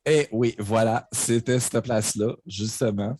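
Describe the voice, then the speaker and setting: A relaxed man with a Quebec French accent rambles through a story as a guest on a livestream. His voice is somewhat low, with a mellow, husky grain and a dry close sound. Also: unhurried, no room sound. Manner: laid-back rambling storyteller. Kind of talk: casual livestream chat.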